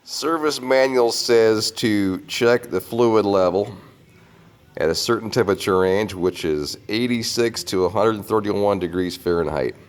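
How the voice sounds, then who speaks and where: A man working as a mechanic, explaining a repair step by step while congested from a slight cold. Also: fairly low pitch. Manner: plain, unhurried how-to explaining. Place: auto repair shop.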